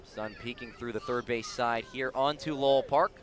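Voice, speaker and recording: A man's voice: mid-range voice, normal speed. A man doing live baseball play-by-play on the radio from the ballpark, in clipped calls that rise with the action. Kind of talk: live sports radio commentary. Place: outdoors at a ballpark.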